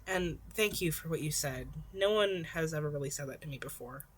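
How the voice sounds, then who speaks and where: A woman voicing an awkward, sincere teenage boy coworker in a story, in a halting, understated manner. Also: low voice, slightly rough. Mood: apologetic.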